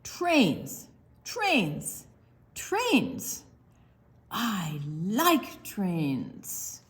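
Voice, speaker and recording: A woman's voice: medium pitch, huge pitch swings, drawn-out words. An older woman reading a picture book aloud to young children, drawing out words expressively and adding explanatory asides. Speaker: storytime reader.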